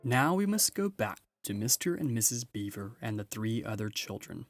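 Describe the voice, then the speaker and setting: A man's voice: fairly low pitch, sing-song, normal speed, faint music behind. A man reading a children's storybook as scripted narration. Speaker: storybook reader.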